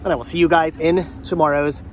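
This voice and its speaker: Middle aged guy vlogging, kinda high voice, stuffed up with a cold, rambly, noisy background. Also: little pitch movement, fairly quick. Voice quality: congested with a head cold. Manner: rambling, repeats phrases. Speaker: vlog host. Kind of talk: casual vlog chat to viewers.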